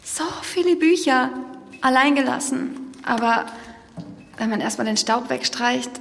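An actress playing an excitable young woman who hosts a podcast in an audio drama, sometimes dropping to a whisper. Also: high voice, unhurried, gravelly, a little hiss or hum. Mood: wistful. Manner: excitable, whispering when tense. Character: curious young woman podcaster hunting a lost tape. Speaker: podcast host in a fiction podcast.

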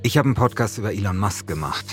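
A man speaks as a podcast host. His voice is medium to slightly low and his delivery is wry and self-ironic, with some music underneath. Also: animated, fast talker. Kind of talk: scripted podcast narration and casual chat.

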